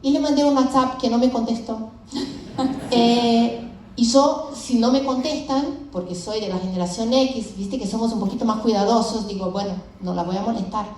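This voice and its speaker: A woman in her fifties, a startup founder, telling her story in a lively, conversational way at a conference. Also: a little high, moderate pace, a little hiss or hum. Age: 50s. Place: large event hall with audience. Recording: echoey hall from a distant mic.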